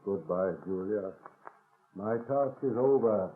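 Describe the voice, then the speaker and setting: A man's voice: lowish voice, takes it slowly. An actor playing the stern ghost of a murdered father, intoning solemnly in 1930s radio melodrama. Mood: solemn farewell. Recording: thin, tinny 1930s radio recording.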